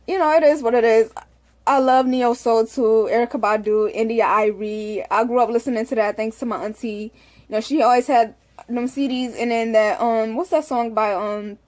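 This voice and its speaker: Young woman vlogger, candid and rambling, slightly high voice. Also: steady tone, normal speed, a little mellow. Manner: candid, rambling, fillers like "you know". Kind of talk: casual talk to camera.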